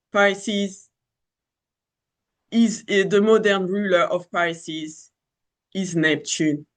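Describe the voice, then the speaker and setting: A man in his thirties speaks with a French accent, his voice sitting a little high. He talks loosely and casually, circling back on himself with fillers and restarts. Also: a bit bright. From France. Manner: rambling, many fillers and restarts. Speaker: astrology channel host. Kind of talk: casual spoken astrology talk.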